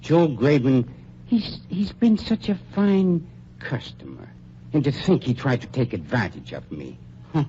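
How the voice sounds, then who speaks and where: Man acting as an unctuous scheming undertaker, mellow voice, swooping pitch, 1940s radio drama, dull lo-fi sound. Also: very animated. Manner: unctuous, falsely polite, with drawn-out pauses. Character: oily, smooth-talking male undertaker and blackmailer. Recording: dull lo-fi 1940s radio recording, faint hiss.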